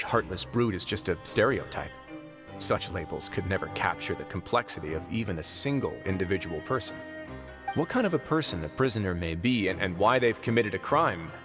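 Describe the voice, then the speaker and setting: Low-voiced, composed, wry duke. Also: male voice. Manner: composed, measured, gently wry, formal. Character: calm, wry, authoritative male prison overseer, a duke. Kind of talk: video game character voice acting.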